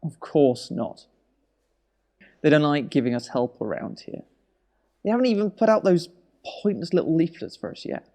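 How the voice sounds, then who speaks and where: An actor playing an anxious, weary husband in a naturalistic audio drama, speaking in a wry, hesitant, conversational way. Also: male voice, fairly high pitch, very animated, normal speed, pauses often, mellow. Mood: weary, grumbling.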